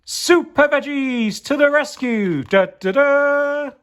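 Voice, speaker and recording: A man's voice: very high-pitched, takes it slowly, a bit bright, voice pushed up, exaggerated voice. A man voicing a plucky, unflappable superhero potato in a children's storybook, speaking in a heroic, exclaiming way. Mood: triumphant.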